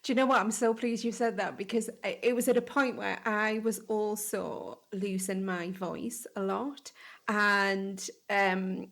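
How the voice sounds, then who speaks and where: A woman's voice: medium pitch, steady tone, a little slow, fairly bright tone. A woman hosting a podcast, chatty and exclamatory in casual conversation. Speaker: podcast host.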